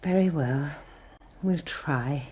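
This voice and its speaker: An actress playing an earnest, somewhat uncertain nun in charge of a mission, in a radio drama. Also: female voice, low voice, takes it slowly, a little mellow, slightly rough, heavily acted. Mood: firm. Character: earnest young nun, Sister Superior.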